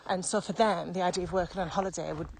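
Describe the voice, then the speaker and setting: A woman's voice: fairly low pitch, steady tone, fast, darkish tone. Woman chatting, noticeably noisy recording. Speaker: podcast co-host. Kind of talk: casual podcast chat. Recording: clearly noisy background with clicks.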